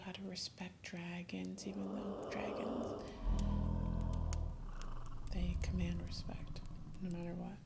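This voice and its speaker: A woman playing a gentle, wistful young dragon rider, telling her past in a breathy, halting ASMR whisper. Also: lowish voice, very noisy. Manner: slow, halting, hushed, intimate. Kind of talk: whispered ASMR roleplay storytelling. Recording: loud ambient nature sound throughout. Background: campfire and night nature ambience.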